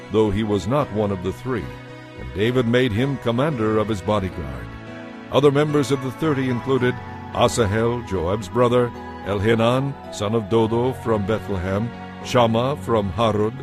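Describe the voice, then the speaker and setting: A man giving a scripted, dramatized narration of scripture as the narrator. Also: medium pitch.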